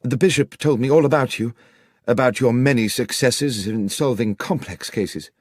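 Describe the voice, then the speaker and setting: A man's voice: mid-range voice, normal speed, no room sound, studio quality, close to an everyday voice. A man voicing an anxious, courteous young country clergyman in an audiobook, speaking in articulate, polite, wordy sentences. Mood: earnest, relieved. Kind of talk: audiobook character dialogue.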